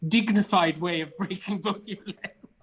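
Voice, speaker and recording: A man's voice: high voice, moderate pace, a bit bright, breathy edge. A man with a Cork Irish accent, chatting casually as an interview guest in an emphatic way, repeating phrases for stress. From Cork, Ireland. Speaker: interview guest, stage actor. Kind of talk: casual chat. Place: remote online video chat.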